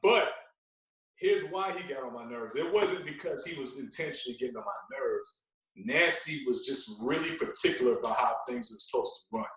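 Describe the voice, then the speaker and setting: A man talking casually as a podcast guest, telling stories in an animated way and repeating phrases for emphasis. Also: medium pitch, moderate pace. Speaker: guest, veteran radio personality. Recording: echoey room, distant from mic.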